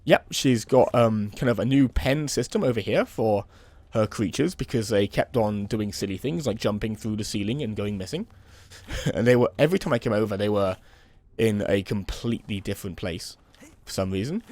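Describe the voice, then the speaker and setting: A young man with a slightly hoarse voice from a cold, giving relaxed, rambling commentary while playing a building game. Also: lowish voice, normal speed, dry recording. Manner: relaxed, rambling, many "um" and "kind of". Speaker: let's play host. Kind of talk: casual gameplay commentary.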